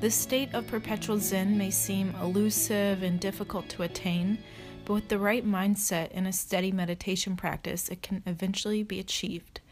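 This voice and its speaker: A woman leading a guided meditation as an instructor, speaking in a calm, measured, soft way with pauses. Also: mid-range voice, soft background music.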